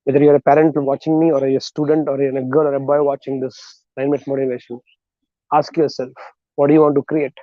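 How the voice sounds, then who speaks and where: A man with an Indian accent giving a motivational talk in a deliberate, emphatic way, in short phrases with rhetorical questions. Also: mid-range voice, little pitch movement, no room sound, muffled recording. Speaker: motivational speaker and counselor.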